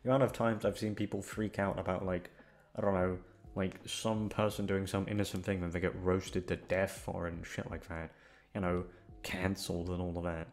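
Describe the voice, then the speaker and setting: A man's voice: low-pitched, flat delivery, normal speed. A man chatting casually and hesitantly to his listeners, rambling along in a self-deprecating, sweary way. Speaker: audio content creator.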